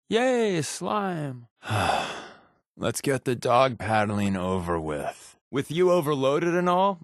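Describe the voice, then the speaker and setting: Sardonic male game companion, flippant, animated pitch, unhurried delivery, dry studio sound. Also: no room sound. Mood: sarcastic. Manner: wry, flippant, deadpan asides. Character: wisecracking sarcastic spy companion in a post-apocalyptic wasteland. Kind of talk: video game companion voice lines.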